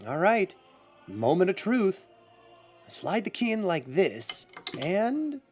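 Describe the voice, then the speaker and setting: An actor playing a brisk, guarded, confident adventurer and heir in an audio adventure drama, with theatrical, expository delivery. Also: male voice, high voice, sing-song, darkish tone, soft background music, full character voice. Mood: eager, urgent.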